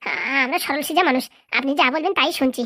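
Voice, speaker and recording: A woman's voice: high-pitched, animated, quick pace, pushed and bright, no echo, studio quality, full character voice. A woman voicing a timid, flustered simple villager in a comedy cartoon, stammering and pleading. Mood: nervous pleading. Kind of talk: Bengali comedy cartoon dialogue.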